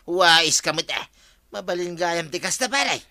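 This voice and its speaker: Radio comedy: a teasing male villager, bright and a bit high. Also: lively intonation, takes it slowly, dry recording, exaggerated voice. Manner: teasing, laughing. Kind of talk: radio comedy drama acting.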